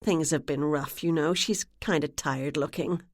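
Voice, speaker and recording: A woman voicing a woman who puts on a giggly, gossipy act with a New England accent, dropping to a confiding hush at times. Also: low-pitched, steady tone, unhurried, no room sound, studio quality. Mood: chatty. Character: woman posing as a giggly, chatty lost sister.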